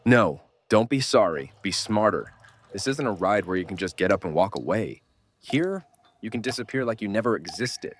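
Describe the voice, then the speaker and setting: A man playing a gruff, blunt, world-weary survivor mentoring a kid in a horror audio drama. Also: lowish voice, expressive, normal speed. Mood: stern scolding. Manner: blunt, scolding, urgent.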